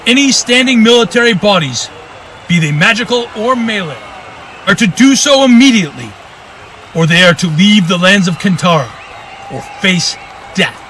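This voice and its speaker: A man performs a stern, vengeful fantasy king delivering pompous decrees. The voice is high and forceful, with dramatic swings in pitch. A faint crowd lingers behind it in this radio-drama scene. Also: expressive, moderate pace, a little hiss or hum, rough recording. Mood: regal, stern. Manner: pompous, declamatory proclamations. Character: commanding, vengeful newly crowned king. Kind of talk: fantasy audio drama acting. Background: faint crowd ambience.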